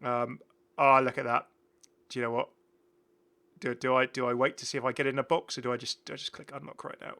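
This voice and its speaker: A man's voice: medium pitch, level tone, quick pace, gravelly, pauses often, bright, forceful tone. A man presenting a gaming video in rambling, casual commentary, chatty and enthusiastic as he goes off on tangents.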